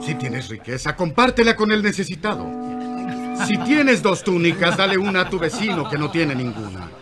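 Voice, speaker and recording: A man playing a fervent desert prophet in an animated Bible film, declaiming commands like a preacher. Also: high-pitched, normal speed, over music. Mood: fervent, commanding.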